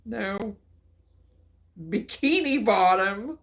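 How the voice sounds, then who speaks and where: A woman from Illinois hosting a relaxed livestream, chatting with viewers in a folksy way and laughing often. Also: mid-range voice, extremely slow. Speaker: livestream host. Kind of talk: casual chat with viewers. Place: home.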